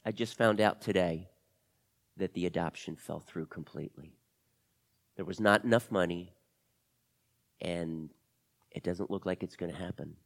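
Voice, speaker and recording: A man's voice: mid-range voice, animated, brisk, vocal fry, hardly any pauses, big-room sound, voice pushed down. A male storyteller playing a sad, heartbroken orphan girl in a tale, confiding in a subdued, hesitant way.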